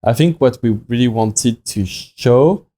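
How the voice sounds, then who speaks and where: A young man from France with a French accent, a watch company founder talking in a podcast interview, halting and full of restarts and fillers. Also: medium pitch, warm tone.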